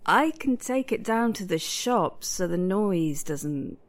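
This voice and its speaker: A subdued, wary technician in a radio play. She talks slowly and haltingly, with a gravelly creak, in a dry close recording. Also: lowish voice, lively intonation, no echo. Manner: hesitant, halting. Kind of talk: audio drama acting.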